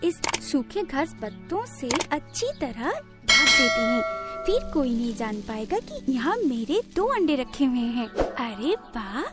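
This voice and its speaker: A woman's voice: sits high, very animated, unhurried, loud music behind the voice. A woman voicing a small, devoted, anxious mother bird in a cartoon story, earnest and theatrical in delivery. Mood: busy, content. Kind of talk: cartoon moral story voice acting.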